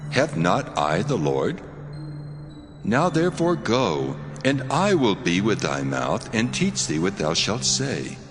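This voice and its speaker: An actor playing God as a solemn, commanding deity who declaims deliberately in a scripture audio drama. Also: male voice, fairly low pitch, huge pitch swings, full character voice. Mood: stern. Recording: long cavernous reverb under prominent music. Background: music.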